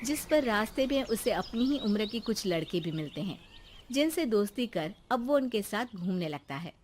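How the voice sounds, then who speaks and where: Female narrator for scripted animated folk tales, mid-range pitch. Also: moderate pace, a little hiss or hum. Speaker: story narrator.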